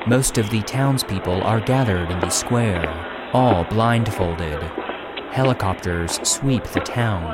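A man's voice: lowish voice, slow, over loud music, poor audio. A man playing a grave narrator who describes classified footage in precise, dramatic scripted narration. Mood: grave, tense.

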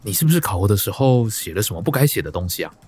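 A man's voice: lowish voice, big pitch swings, rapid-fire, a little mellow, slightly rough. An actor with a Taiwanese Mandarin accent playing an insistent, pragmatic older father who meddles, in a radio drama. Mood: displeased, pressing.

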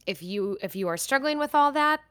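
A woman's voice: high voice, expressive, brisk, a bit bright, no echo. A woman in her thirties co-hosting a podcast, chatting candidly and reading a personal letter aloud. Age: 30s. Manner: candid, talks in starts and restarts. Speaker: podcast co-host.

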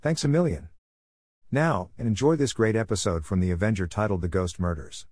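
A man's voice: on the low side, normal speed, darkish tone. A man reading a scripted introduction as a podcast host.

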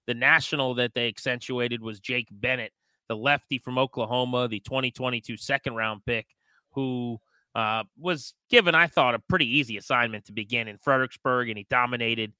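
Bright, clear male sports co-host. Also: medium pitch, brisk, dry recording. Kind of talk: conversational baseball commentary.

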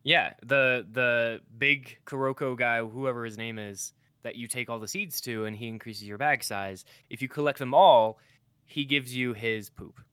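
A man co-hosting a gaming podcast, answering calmly with dry teasing humor. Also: medium pitch, measured pace, smooth, no echo. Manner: dry teasing, calm short replies. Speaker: podcast co-host. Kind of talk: casual gaming podcast chat.

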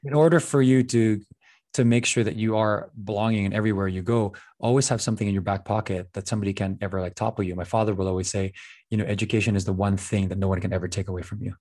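A man from the Philippines, a guest on a talk show. His voice sits on the low side, and he speaks earnestly and reflectively. He often circles back, repeating words while he searches for what to say. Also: steady tone, quick pace, darkish tone. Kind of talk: reflective casual conversation.

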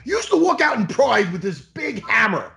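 A man in his forties jokes and teases his guest on a video call. His voice sits high and gravelly. It leaps wildly up and down as he gets worked up. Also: big pitch swings, moderate pace. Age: 40s. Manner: loud, joking, teasing, swings up into shouts. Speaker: host. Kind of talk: casual video-call interview.

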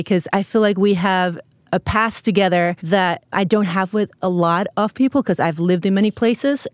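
A woman hosting a podcast, warmly introducing her best friend and asking about her struggles. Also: mid-range voice, no room sound. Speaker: podcast host. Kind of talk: podcast interview. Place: podcast studio.

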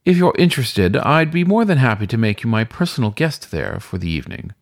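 A man voicing a suave weasel ghost who runs a hotel, speaking in a smooth, courteous, formal manner for a storybook reading. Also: mid-range voice, very animated, brisk. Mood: amused, inviting.

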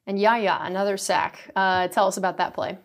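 A woman's voice: medium pitch, fairly even intonation, moderate pace. A woman who is a team reporter hosting a radio sports show, asking poised, upbeat interview questions.

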